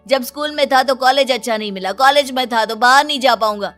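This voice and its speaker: A woman's voice: high-pitched, fast, a bit bright, soft background music. Woman acting an exasperated young son. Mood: sarcastic, exasperated. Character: put-upon, exasperated young adult son, whining in protest. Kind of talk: exaggerated comic story acting.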